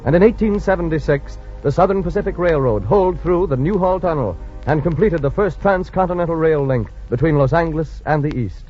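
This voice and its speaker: A man's voice: a little high, brisk, hardly any pauses, darkish tone. A man narrating a scripted 1940s radio drama in a dramatic, grandiose, solemn manner. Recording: muffled old radio recording with music.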